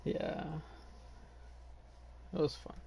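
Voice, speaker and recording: A man's voice: very slow, mellow, slight background noise. A man chatting casually while gaming, in a slangy, rambling way.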